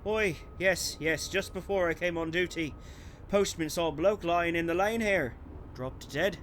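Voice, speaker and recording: An actor playing a chatty, inquisitive night-duty British police constable in a radio drama. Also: male voice, a little high, unhurried, fairly bright tone. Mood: matter-of-fact. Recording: faint street and traffic noise.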